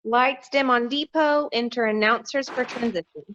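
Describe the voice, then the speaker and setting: Female stage-direction reader, moderately high voice, scripted narration, slightly muffled video-call sound. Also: normal speed, fairly bright tone, a little hiss or hum.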